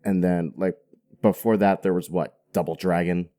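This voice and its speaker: A man's voice: deep voice, fairly even intonation, normal speed, dark tone. A man chatting as a podcast guest in an informal, slangy, conversational way. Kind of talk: casual chat.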